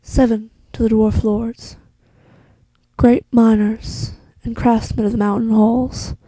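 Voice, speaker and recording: A woman playing a solemn, wise elf queen who narrates a fantasy monologue in a hushed, deliberate way, with a soft voice that slips toward a whisper. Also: on the high side, level tone, slightly rough, no room sound, low-quality recording. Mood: solemn, foreboding. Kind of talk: slow dramatic fantasy monologue.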